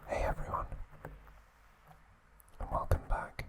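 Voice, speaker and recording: A man whispering an ASMR review at home, gentle and relaxed, with a soft unvoiced whisper. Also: slow pace. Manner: gentle, relaxed, with occasional fillers. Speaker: ASMR channel host. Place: indoors at home.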